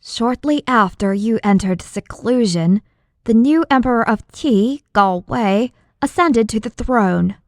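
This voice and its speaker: A woman's voice: fairly high pitch, lively intonation, unhurried, no room sound, clean studio sound. A woman reading an audiobook, voicing a respectful, earnest young male disciple who explains things deferentially. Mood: respectful, eager. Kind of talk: audiobook character dialogue.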